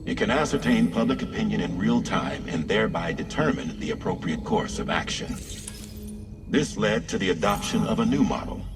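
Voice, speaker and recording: Suave male briefer, low voice, formal and measured, video game cutscene, distant, music behind. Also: animated. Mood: knowing, composed. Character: suave, knowing male intermediary briefing a courier. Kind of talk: scripted game cutscene dialogue.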